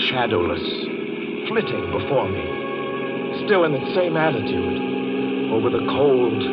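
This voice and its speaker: A man's voice: medium pitch, natural voice. Haunted young male traveler, played by a radio actor, dramatic and nervy, muffled vintage recording with prominent music. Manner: brooding, dramatic, breaking into nervous stammering. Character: haunted, frightened young man on a lonely drive. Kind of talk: 1940s radio drama narration and acting.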